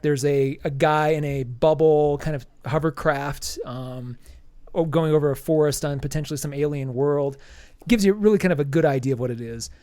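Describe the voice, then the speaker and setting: A man's voice: fairly high pitch, no echo. A man hosting a podcast, casually reviewing a comic with a stuffy nose and lots of ums and restarts. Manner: rambling, many ums and restarts.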